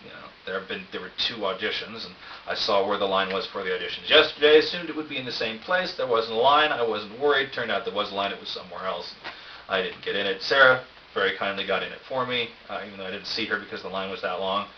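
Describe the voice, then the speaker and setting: A middle-aged man with a low voice rambles casually through a convention recap. He is hesitant, wry and self-deprecating. The sound is dull and roomy, with a faint hiss behind him. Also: fast, distant mic. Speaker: vlogger. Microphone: cheap camera, some distance away. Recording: roomy echo, dull cheap camera mic, hiss.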